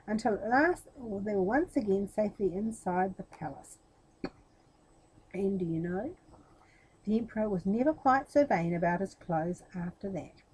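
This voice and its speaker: A woman's voice: mid-range voice, darkish tone, breathy edge, low-quality recording. A woman reading a children's story expressively, with explanatory asides spoken directly to young listeners.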